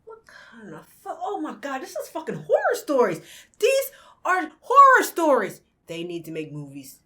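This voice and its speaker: A woman's voice: sits high, huge pitch swings, moderate pace, bright, forceful tone. A young woman hosting a reaction video, talking bluntly and swearing freely as she comments.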